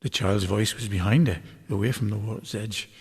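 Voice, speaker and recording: A man reading a story aloud as scripted narration, in a measured, dramatic literary manner. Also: lowish voice, breathy edge. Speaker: author reading a novel chapter aloud. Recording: long hall-like reverb.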